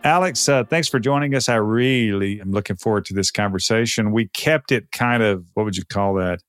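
A man from Texas with an American accent, speaking conversationally in short, deliberate phrases as a podcast host. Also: on the low side, fairly bright tone, clear, resonant tone, no room sound, studio quality, faint music behind. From Texas, United States. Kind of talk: podcast introduction and casual interview.

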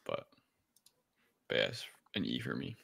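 A man's voice: extremely low pitch, huge pitch swings, measured pace, creaky, bright, forceful tone, breathy edge. A man co-hosting a podcast, talking casually as he reviews a film. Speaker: podcast co-host.

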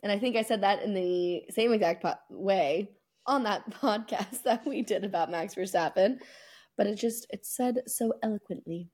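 A woman's voice: mid-range voice, moderate pace, darkish tone. A woman co-hosting a sports podcast in casual, chatty conversation, with a playfully self-mocking way of talking. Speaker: podcast host. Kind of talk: casual sports podcast chat, season recap.

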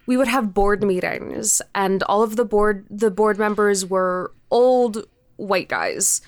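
A woman's voice: medium pitch, takes it slowly, dry recording. A woman chatting casually as an interview guest, telling stories in a rambling, emphatic, informal way. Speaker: interview guest, creator of a podcast show. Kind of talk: casual chat, storytelling.

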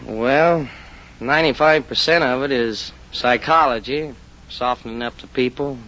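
A man's voice: medium pitch, unhurried, bright, forceful tone. A man from Illinois, an auctioneer, being interviewed as a contestant on an old radio quiz. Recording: lo-fi phone-like old radio broadcast.

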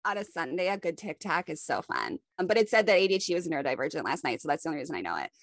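A woman's voice: deep voice, sing-song, very fast, no echo, clean studio sound. Chatty young woman with a cold. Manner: chatty, rambling, self-deprecating. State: sniffly with a cold. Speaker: podcast co-host. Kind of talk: casual chat.

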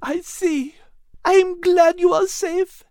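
Guy doing a weepy emotional lady, sprinkles french words, way up high and swooping, dry close sound. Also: steady tone, slow pace, darkish tone, no room sound, heavily acted. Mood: tender. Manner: high, crying, gushing. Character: emotional tearful woman using French words.

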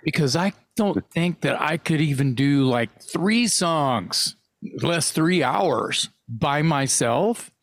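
A man's voice: fairly high pitch, expressive, slow, no room sound, clean studio sound. Rambling, stammering man host. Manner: rambling, stammering, swears casually. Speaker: podcast host. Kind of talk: casual interview chat.

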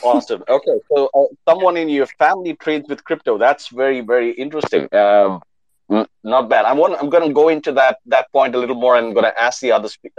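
A man hosting a live online panel show, lively and fond of repeating words for emphasis. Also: mid-range voice, no room sound, dull audio. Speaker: host.

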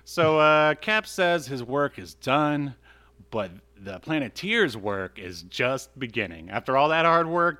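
A man's voice: medium pitch, a bit bright. Man bantering casually as podcast co-host. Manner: joking, teasing.

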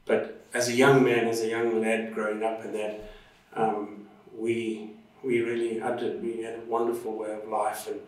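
A man's voice: lowish voice, completely flat delivery, normal speed. A man who grew up in Zimbabwe, telling long stories as an interview guest with many fillers and restarts. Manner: rambling, warm storytelling with many fillers and restarts. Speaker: interview guest, drone instructor and pilot. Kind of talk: casual conversational interview. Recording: echoey room, voice from a distance.

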